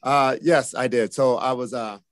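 A football coach in his fifties answers questions at a steady, measured pace. His voice sits at a middle pitch and is peppered with "you know" and "uh." The recording is dry and close, with no room sound. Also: no room sound. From Japan, raised in the United States from age seven.

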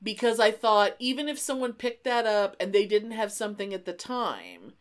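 A woman chats as a podcast co-host, spinning a story in an informal, emphatic style. Her pitch moves around a great deal as she talks. She swears freely and leans hard on key words. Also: animated, moderate pace. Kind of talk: casual reminiscing chat.

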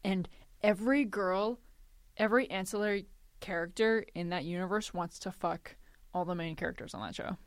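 A woman's voice: mid-range voice, moderate pace, no room sound. A woman co-hosting a podcast with casual, playful comedic banter. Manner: playful, animated. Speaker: podcast co-host.

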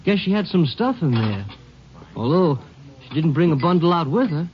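An easygoing cab driver who drawls in folksy turns of phrase, a little higher than most men. The sound is dull and lo-fi, with faint hiss from the old radio recording. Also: male voice, big pitch swings, normal speed. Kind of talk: 1950s radio acting.

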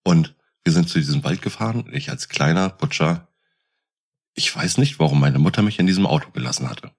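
Very low-voiced, husky man telling stories. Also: animated, a little fast. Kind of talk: casual anecdote telling.

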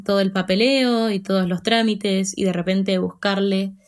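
A woman's voice: mid-range voice, fairly even intonation, normal speed. A young Argentine woman in her twenties with an Argentine accent, telling her own story in a chatty, candid way as a podcast guest. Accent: Argentine Spanish. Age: 20s. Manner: chatty and candid, with fillers and laughs. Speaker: interview guest. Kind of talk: casual storytelling.

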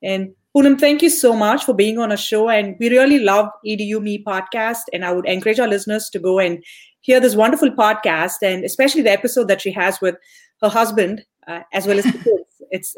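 A young woman hosting a conversational podcast interview. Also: medium pitch. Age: young adult. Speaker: podcast host.

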